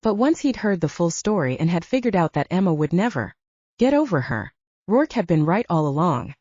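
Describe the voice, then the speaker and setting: A woman's voice: lowish voice, big pitch swings, a little fast, a little mellow, no room sound, dull audio. A woman narrating a scripted novel as an audiobook reader.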